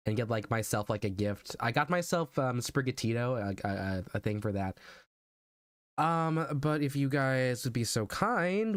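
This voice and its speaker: A man's voice: mid-range voice, smooth, no echo, studio quality. A male streamer talks in a casual, rambling way. He sounds informal and unscripted, with background music playing under his voice. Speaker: streamer and voice actor. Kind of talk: casual livestream chat.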